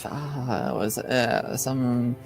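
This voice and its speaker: A man's voice: medium pitch, extremely slow, gravelly. A man narrating a tabletop game as game master, hesitant, with trailing phrases. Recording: faint music behind.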